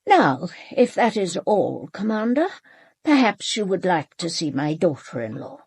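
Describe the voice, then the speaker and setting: A woman's voice: lowish voice, sing-song, unhurried, darkish tone, breathy edge, no room sound, full character voice. An actress playing a proud, elderly upper-class British mother in a radio drama, speaking formally and precisely with controlled, cool dismissiveness. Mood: haughty, controlled. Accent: upper-class British English. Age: older. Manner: formal, clipped, haughty, precise.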